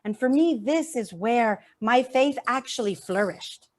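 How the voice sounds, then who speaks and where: A woman's voice: on the high side, expressive, unhurried. A woman from San Francisco, giving an earnest, heartfelt speech and repeating phrases for emphasis. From San Francisco Bay Area, USA. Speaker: activist speaker. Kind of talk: heartfelt speech at an online event.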